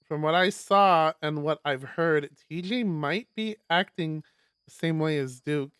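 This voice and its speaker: A man streaming a live read-aloud of a visual novel, expressive, with stumbles and casual asides. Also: a little high, measured pace, clean tone, no echo, studio quality. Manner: expressive, stumbles over words while reading.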